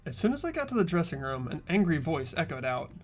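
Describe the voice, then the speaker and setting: A woman's voice: deep voice, big pitch swings, quick pace, soft background music. A woman narrating a game playthrough in the first person, like a story. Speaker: narrator and player.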